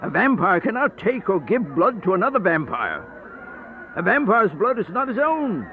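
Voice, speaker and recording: An aged vampire, wildly swooping voice. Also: male voice, high-pitched, big pitch swings. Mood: scornful, exasperated. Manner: theatrical, declamatory, drawn-out phrasing. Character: aged, sickly first male vampire, bitter, grandiose, world-weary. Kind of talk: radio horror play acting. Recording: thin phone-like band-limited audio with music.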